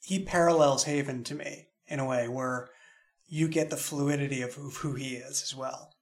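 A man discussing his audio drama writing in a thoughtful, halting way, restating phrases as he explains. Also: mid-range voice, steady tone. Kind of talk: reflective discussion of own writing.

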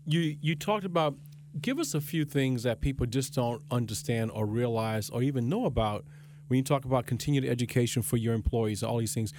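A man's voice: medium pitch, brisk, darkish tone, a little hiss or hum. A man hosting a radio interview, putting questions in a conversational way.

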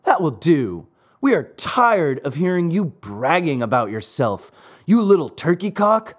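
A man's voice: a little high, very animated, measured pace. A man voicing sneering, bullying schoolboys in a storybook, jeering and scolding. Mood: threatening. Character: gang of sneering, bullying schoolboys, threatening and teasing.

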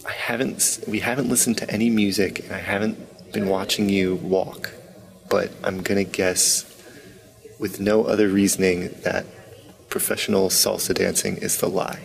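A man's voice: low-pitched, steady tone, normal speed, creaky, poor audio. A man hosting a hospital podcast, introducing guests and asking them upbeat, friendly questions. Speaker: podcast host.

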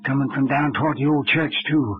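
An actor playing a gruff, folksy older man, a blustering town mayor in an old-time radio mystery. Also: mid-range voice, brisk. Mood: grave, grumbling. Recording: muffled vintage radio drama recording.

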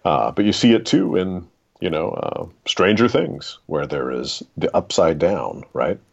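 Male guest author, gravelly and crisp, dramatic storyteller delivery, slightly dull recording. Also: lively intonation, unhurried. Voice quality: very deep, gravelly. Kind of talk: literary discussion and storytelling.

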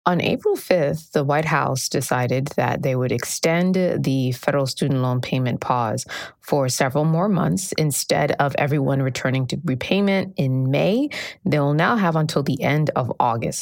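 Female reporter: steady, explanatory delivery, low pitch, dry booth. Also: moderate pace, gravelly, no room sound. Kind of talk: explaining in an interview.

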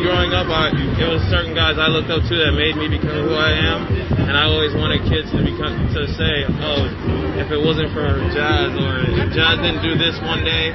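A man's voice: on the high side, muffled recording. A young man from the Bahamas, a baseball player, speaking humbly and leaning on "I mean". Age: young adult. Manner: flat, humble, repeats "I mean".

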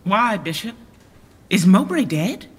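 An actor playing a commanding, controlled lord in a Shakespearean radio drama, with measured authority. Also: male voice, sits high, sing-song, slightly rough, in a large hall, full character voice. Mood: solemn. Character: commanding, controlled male lord presiding over quarrels.

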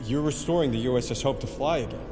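A man's voice: mid-range voice, fast, music in the background, lightly acted. A man playing an indignant, principled challenger in an audio drama, incredulous and reproachful. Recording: long hall-like reverb.

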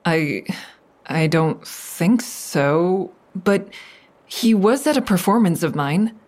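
An actress playing an anxious, hesitant woman making a halting call for help in an audio drama. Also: on the low side, lively intonation, darkish tone. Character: anxious, hesitant woman reporting a stalking ex-boyfriend. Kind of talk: audio drama acting, naturalistic phone dialogue.

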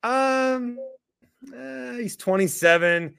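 A man's voice: very high-pitched, slow, vocal fry, a bit bright, smooth. A man hosting a casual sports podcast stream, joking and chatty, poking fun at himself. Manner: joking, self-deprecating, chatty. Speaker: podcast host.

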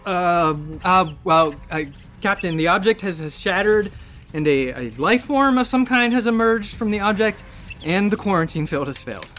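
Sci-fi audio drama lead: a sarcastic, sweary commander, rambling and stammering, music underneath. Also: male voice, sits high, expressive, moderate pace, a bit bright. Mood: flustered. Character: bitter, rambling, sweary male space station commander. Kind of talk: science fiction audio drama acting.

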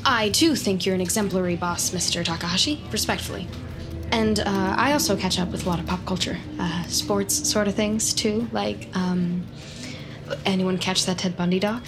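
A woman's voice: medium pitch, normal speed, lightly acted. Woman acting an awkward office worker, nervous, too polite, mid voice, music playing under it. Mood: nervously eager. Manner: hesitant, nervous, over-polite. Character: awkward, eager-to-please female office data analyst. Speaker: actor in a scripted audio drama. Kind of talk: comedic audio drama acting.

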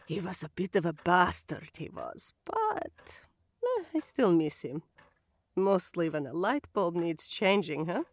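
A creaky old widow rambles at an unhurried pace, her voice swooping up and down as she chatters about her late husband, played by an actress. She sounds harmless and doddering, yet turns quietly menacing. Recorded close and dry for an audio drama. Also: lowish voice, sing-song, no room sound, heavily acted. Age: older. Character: eccentric old widow with a sinister secret.

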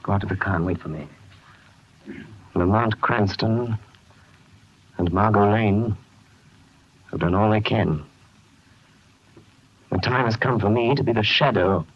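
Muffled 1930s radio detective hero, urgent. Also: male voice, lowish voice, a little slow, long pauses, husky. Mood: grave, commanding. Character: confident, urgent amateur detective, a crime-fighting man. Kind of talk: 1930s radio drama acting.

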